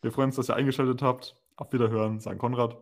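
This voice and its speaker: A man's voice: mid-range voice, little pitch movement, fast. A young man co-hosting a podcast, chatting casually in an informal, slangy way. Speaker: podcast co-host. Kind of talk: casual chat.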